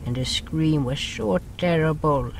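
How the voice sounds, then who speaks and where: A man's voice: on the high side, measured pace, vocal fry, a little hiss or hum, poor audio. A man playing an earnest creature telling its story in an audio drama, solemn, with broken phrasing that drops articles. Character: earnest storytelling creature, small beside its raging ally.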